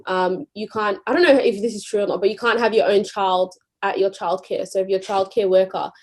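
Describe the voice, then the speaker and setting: A woman's voice: medium pitch, fairly even intonation, brisk. A young woman with an Australian accent, musing in casual podcast chat and hedging her points. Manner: thoughtful, hedging. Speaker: podcast co-host.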